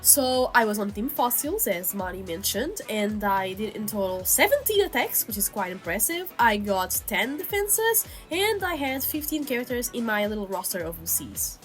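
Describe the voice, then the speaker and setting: Woman chatting casually about art, voice a bit under the weather, soft music behind. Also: medium pitch, sing-song, moderate pace, hardly any pauses. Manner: chatty, informal, frequent "like" asides. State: sick, still under the weather. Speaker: co-host, digital artist. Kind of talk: casual speedpaint commentary. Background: background music.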